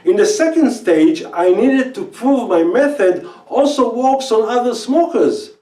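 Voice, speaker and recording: A man's voice: extremely high pitch, expressive, measured pace, warm tone. A middle-aged man with an Israeli accent, a native Hebrew speaker, telling his own story of quitting smoking in earnest, slightly non-native English. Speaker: speaker pitching a quit-smoking program. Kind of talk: personal testimonial talk. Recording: roomy, from some distance.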